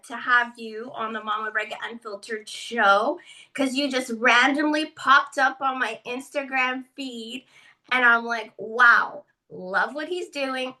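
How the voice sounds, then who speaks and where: Bright, eager woman hosting a podcast. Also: on the high side, far from the mic. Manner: enthusiastic, effusive. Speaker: podcast host. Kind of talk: upbeat interview hosting.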